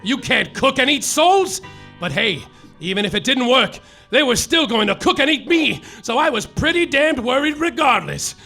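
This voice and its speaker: A man playing a hapless hardboiled private detective, narrating in a wry noir deadpan on a live stage. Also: very high-pitched, moderate pace, vocal fry, faint music behind, exaggerated voice. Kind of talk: live comedic radio-play narration.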